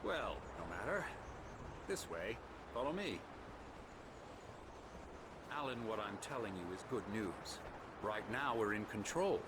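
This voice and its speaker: An actor playing a smug, manipulative middle-aged psychiatrist in a video game, with a pompous, patronizing manner. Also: male voice, on the low side, very animated, measured pace, long pauses. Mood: smug, grandiose. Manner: pompous, patronizing, smooth-talking. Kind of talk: dramatic video game dialogue. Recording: loud ambient noise behind.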